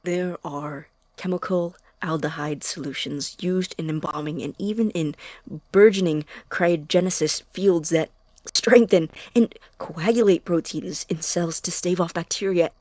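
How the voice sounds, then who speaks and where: A woman playing an anxious, obsessive young science student in an audio drama, halting and interrupting their own thoughts with intensity. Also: fairly low pitch, darkish tone, no echo. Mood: fervent, earnest.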